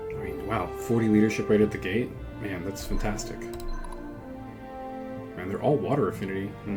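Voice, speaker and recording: A man's voice: on the low side, darkish tone. A man hosting a gaming video, giving casual strategy commentary and explaining his choices as he thinks aloud. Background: music behind the voice.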